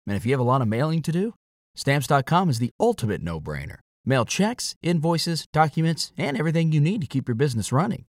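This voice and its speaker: Animated male ad voice, very dry. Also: on the high side, fast talker, no echo. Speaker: advertisement voice-over. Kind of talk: scripted ad narration.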